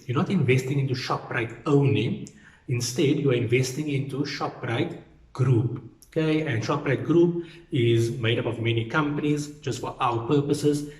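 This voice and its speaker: A man's voice: mid-range voice, a little mellow. A man gives an explanatory lecture in a didactic way, repeating key points for emphasis. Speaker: accounting lecturer. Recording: roomy, echoing sound.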